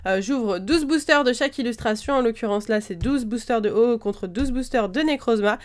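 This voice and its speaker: Woman with a head cold, chatty and high-pitched. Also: fairly quick. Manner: chatty, lists items one after another, frequent "euh" fillers. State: slightly congested from a cold. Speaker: card-opening video host. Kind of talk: casual chat while opening trading card packs.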